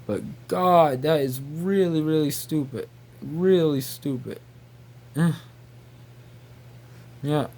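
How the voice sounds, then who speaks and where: A man rambling casually in a halting, repetitive, incredulous way. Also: fairly high pitch, darkish tone. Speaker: video creator.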